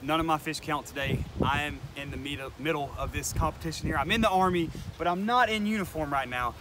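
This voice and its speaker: A man who serves as a soldier, chatting loudly and joking while fishing by a pond. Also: on the high side, fairly quick. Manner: loud, boastful, joking. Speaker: soldier guest angler. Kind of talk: casual outdoor chat. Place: pond bank outdoors. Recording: noisy outdoor camera audio.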